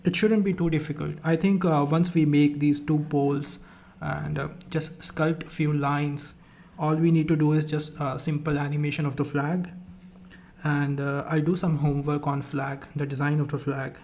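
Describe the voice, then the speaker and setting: A man's voice: a little high, flat delivery, normal speed, a little mellow. A young man with an Indian accent, whose first language is Rajasthani, hosting a live stream in casual chat, hesitant with many fillers and restarts.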